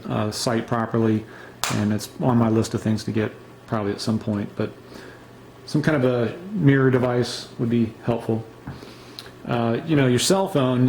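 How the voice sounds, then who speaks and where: Relaxed low-key man reviewing gear. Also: a little hiss or hum, poor audio. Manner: relaxed, unscripted, many fillers and restarts. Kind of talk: casual show-and-tell review.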